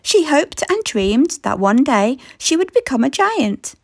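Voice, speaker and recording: A woman reading a children's story aloud in expressive scripted narration. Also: high-pitched, a little slow, no room sound, clean studio sound.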